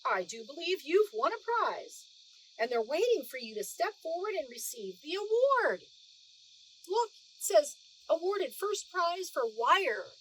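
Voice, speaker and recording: A woman's voice: very high voice, very animated, unhurried, a bit bright, slight background noise, voice pushed up, full character voice, rough recording. A woman voicing a stern, suspicious, easily amazed male museum guard in a storybook read-aloud, exclaiming emphatically. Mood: amazed, proud.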